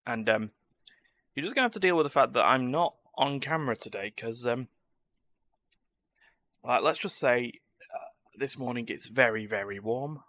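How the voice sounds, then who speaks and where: Bright-voiced man streaming a video game. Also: mid-range voice, animated, moderate pace, long pauses, no echo. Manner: self-deprecating, wry, chatty. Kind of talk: casual live game-stream commentary. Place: indoors at home, live stream, game sound behind.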